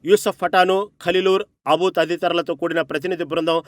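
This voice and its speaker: A man's voice: sits high, level tone, brisk, no echo. A man reading a scripted news report as narrator, with an emphatic, dramatic delivery.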